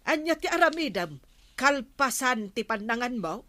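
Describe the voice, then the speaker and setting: A woman's voice: fairly high pitch, big pitch swings, moderate pace, bright, forceful tone. A woman with an Ilocano accent playing the exasperated, nagging wife of a lazy village captain in a radio comedy drama. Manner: scolding, exasperated.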